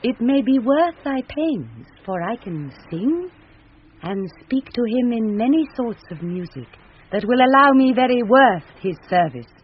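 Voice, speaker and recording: A woman's voice: fairly high pitch, sing-song, measured pace, slightly rough, exaggerated voice. An actress with a British accent playing an earnest, resolute young noblewoman in a radio Shakespeare play. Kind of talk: 1970s BBC radio Shakespeare acting. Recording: dull, noisy vintage radio recording.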